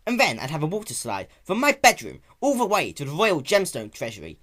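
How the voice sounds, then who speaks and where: A man's voice: on the high side, huge pitch swings, a little fast, fairly bright tone, pitched above the performer's own voice, heavily acted. A man voicing a stubborn, boastful young male dragon in a dramatic reading of a cartoon fan story. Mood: smug, dreamy.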